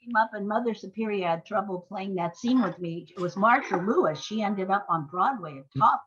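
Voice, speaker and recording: A woman's voice: mid-range voice, expressive, brisk, a bit bright, husky. Older woman storyteller on muffled audio. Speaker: guest playwright. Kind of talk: anecdotal podcast interview talk.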